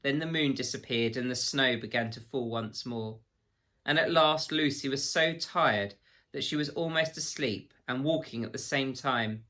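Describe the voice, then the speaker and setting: A man reads a children's story aloud with measured expression. His voice is bright and forceful at a middling pitch, in the manner of a teacher reading to pupils.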